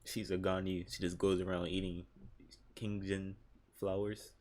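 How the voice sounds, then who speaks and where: Very deep-voiced man, slangy gamer. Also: little pitch movement, unhurried, darkish tone. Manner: slangy, offhand. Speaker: gamer reacting to game story. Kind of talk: casual gaming commentary.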